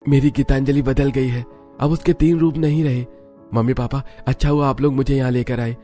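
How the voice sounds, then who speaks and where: A man's voice: mid-range voice, fairly even intonation, brisk, mellow, soft background music, close to an everyday voice. A man voicing an earnest, devoted young husband in a family cartoon, with emphatic, dramatic delivery. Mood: relieved, affectionate.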